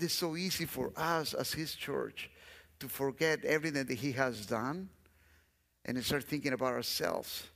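A man's voice: high-pitched, a bit bright. A middle-aged man from Guatemala with a Guatemalan Spanish accent, a guest pastor preaching an earnest sermon on a large church stage, often repeating his phrases for emphasis. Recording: long church hall reverb.

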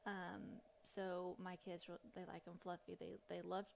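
A woman's voice: fairly low pitch, level tone, unhurried, vocal fry, a little hiss or hum. A middle-aged woman giving a chatty, rambling, informal voice-over as a home cooking vlogger. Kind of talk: casual instructional voice-over.

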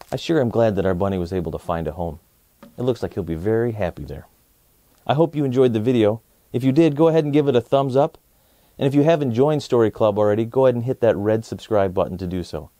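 American man, children's storybook narration, mellow, dry close sound, expressive, clearly enunciated. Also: lowish voice, no echo. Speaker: children's story time host.

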